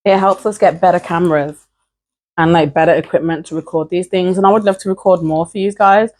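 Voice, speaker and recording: A woman's voice: fairly low pitch, fairly even intonation, brisk, darkish tone. A woman vlogger talking casually and informally to her viewers, hesitant and peppering her sentences with filler words. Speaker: YouTube creator and host. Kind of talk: casual chatty vlog to camera.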